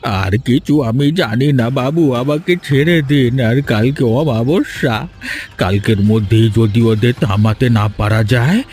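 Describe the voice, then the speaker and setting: A scared old villager, played by a man, confesses slowly and haltingly over background music. He is a fearful captive in a dramatic cartoon. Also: medium pitch, darkish tone, natural voice. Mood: fearful, confessing.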